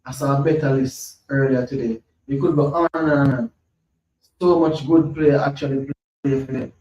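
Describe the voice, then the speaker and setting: A man's voice: medium pitch, steady tone, normal speed, a little mellow. A man, hosting a casual football podcast, thinking aloud, repeating himself and reading from his screen. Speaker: podcast host. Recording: roomy, lo-fi, mic at a distance, faint hum.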